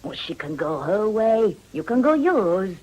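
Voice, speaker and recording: Casting: a worldly lady of cool discretion, mid-range voice, slow deliberate phrasing. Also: mid-range voice, very animated, dark tone, poor audio. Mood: cool pragmatism. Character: worldly, pragmatic French-speaking mistress, coolly discreet woman.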